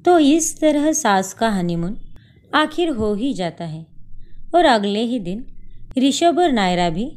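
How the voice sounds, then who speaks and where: A woman narrating a family cartoon story in a measured, expressive way. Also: a little high.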